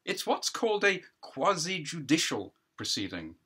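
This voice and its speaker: A man voicing a fussy, patronising judge in a stage play, brisk and condescending with false geniality. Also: on the high side, big pitch swings, bright, forceful tone, breathy edge, pitched above the performer's own voice, exaggerated voice. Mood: officious. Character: fussy, patronising male judge at party hearing.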